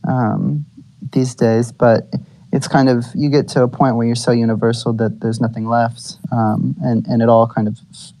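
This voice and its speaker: A man in a casual online group chat talks haltingly, leaning on "um" and "you know" at every turn. His voice sits at an ordinary pitch and sounds dry, with no room echo. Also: warm tone. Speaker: participant. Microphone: online audio chat.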